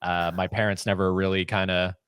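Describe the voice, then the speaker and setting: Male podcast host, very low voice, relaxed and jokey, dry close sound. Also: a little fast, smooth, no room sound. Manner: easygoing, laughs a lot, some stammering. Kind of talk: casual podcast chat over video call.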